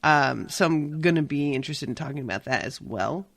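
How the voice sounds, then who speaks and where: A woman hosting a podcast, chatting casually about a book with quick, irreverent asides and the odd swear word. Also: low-pitched, expressive, creaky, fairly bright tone, no room sound. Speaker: podcast host. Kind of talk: casual chat.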